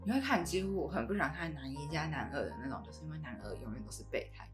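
A woman's voice: low-pitched, sing-song, racing, music in the background. A woman with a Taiwanese Mandarin accent hosting a podcast in a casual, conversational way. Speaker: podcast host.

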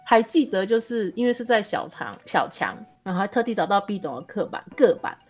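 A woman's voice: medium pitch, big pitch swings, brisk. A woman with a Taiwanese Mandarin accent chatting casually as a guest author, self-deprecating and exclamatory. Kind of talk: casual chat.

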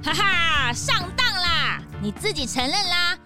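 A woman's voice: very high-pitched, expressive, unhurried, music in the background. A woman playing a cocky, brash young detective's sidekick in a children's audio drama. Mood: gloating. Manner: brash, taunting.

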